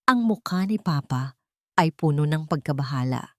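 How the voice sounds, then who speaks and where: Low-voiced woman narrating a dramatic story. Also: animated, normal speed, darkish tone, no echo, clean studio sound. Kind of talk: dramatic first-person scripted story narration.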